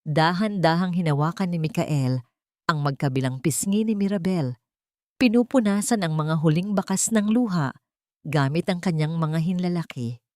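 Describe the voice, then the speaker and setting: A woman's voice: fairly low pitch, lively intonation, normal speed, darkish tone, dry recording, clean studio sound. A woman with a Filipino accent reading a dramatic romance story as a scripted narration.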